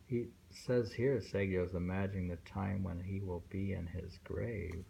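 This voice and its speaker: A man reading poems aloud informally and commenting on them in a halting, musing way. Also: sits low, fairly even intonation, gravelly, dark tone, a little hiss or hum. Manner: halting, musing, with pauses and self-questioning. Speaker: reader and host discussing poems.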